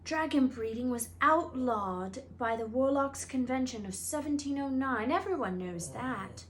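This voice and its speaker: A woman voicing an excitable, blunt schoolboy in a storybook, talking emphatically and informally. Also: sits high, a little slow, slight background noise, pitched above the performer's own voice. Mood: insistent. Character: excitable, blunt schoolboy, eager know-it-all about dragons.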